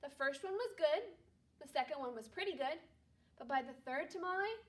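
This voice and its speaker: A woman teacher reading a storybook aloud to young children, speaking to them directly in an animated way. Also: high-pitched, normal speed, distant mic. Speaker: second grade teacher.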